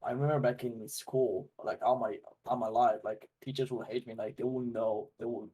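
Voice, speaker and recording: A man's voice: medium pitch, fairly even intonation, normal speed, mellow, slightly rough, low-quality recording. A man co-hosting a casual podcast, laid-back and slangy, filling his talk with "like" and "man". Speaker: podcast co-host.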